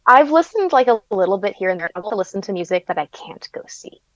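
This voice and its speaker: A woman's voice: mid-range voice, sing-song, fast, no room sound. Upbeat woman guest on a dull line. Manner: upbeat, giggly fan talk with many "like"s. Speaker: podcast guest. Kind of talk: casual conversation.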